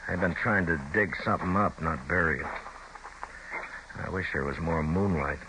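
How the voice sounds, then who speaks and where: An actor playing a calm, stern frontier marshal in an old-time radio western, speaking in a laconic, authoritative, folksy way. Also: male voice, low voice, moderate pace, lightly acted. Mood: low-key, watchful. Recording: noisy old radio drama recording.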